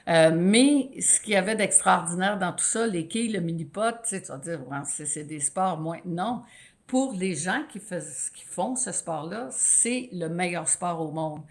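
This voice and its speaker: A woman in her sixties with a Quebec French accent, a sports broadcaster telling stories in an animated interview, often restarting her sentences. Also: lowish voice, normal speed. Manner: animated, storytelling with many restarts. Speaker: guest, sports play-by-play announcer. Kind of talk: conversational interview.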